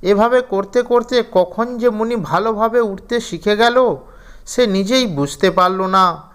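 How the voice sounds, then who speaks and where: A man's voice: high voice, fairly bright tone, smooth. A man narrating a scripted children's fairy tale as storyteller.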